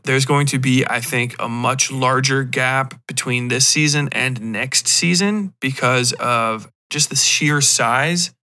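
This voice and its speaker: A man with a bright, forward voice hosts a podcast alone. He talks casually and rambles, drifting into digressions with a self-deprecating touch. His pitch sits in the middle for a man. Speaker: podcast host.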